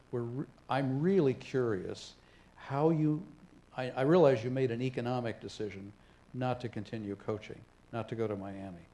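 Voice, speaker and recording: A man's voice: medium pitch, warm tone. A man hosting an onstage conversation, asking affable, probing questions. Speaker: moderator host. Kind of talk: interviewing onstage. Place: stage before a live audience.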